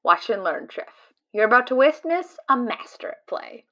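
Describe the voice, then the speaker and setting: A woman's voice: fairly high pitch, very animated, moderate pace, pushed and bright, muffled recording. A woman playing a brash, teasing and loyal friend who reassures and boasts. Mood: confident. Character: Rizara, confident loyal friend and card gamer. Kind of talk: fan audio drama acting.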